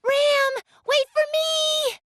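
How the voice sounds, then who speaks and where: A woman voicing a small, excitable, bubbly little girl with a childlike, eager manner in an English anime game. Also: very high-pitched, very slow, a little hiss or hum, full character voice. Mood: excited, cheerful. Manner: childlike, eager, sing-song.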